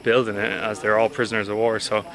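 A young man in his twenties, talking earnestly and haltingly while vlogging outdoors at arm's length. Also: lowish voice, little pitch movement, crowd noise. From Ireland. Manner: earnest, thoughtful, halting with fillers. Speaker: travel vlogger. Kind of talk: casual travel vlog chat. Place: outdoors on a hot day. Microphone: handheld camera at arm's length. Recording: background music under voice.

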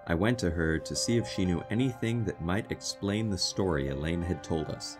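An actor playing an earnest, concerned fiance who recounts a strange tale in a radio drama. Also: male voice, low voice, normal speed, loud music behind the voice, close to an everyday voice. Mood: somber recollection. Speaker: lead actor and first-person narrator.

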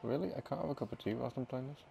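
A man's voice: mid-range voice, racing, gravelly, mellow, slight background noise. A man chatting casually with a friend while gaming, teasing him and laughing often. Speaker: gamer and video host.

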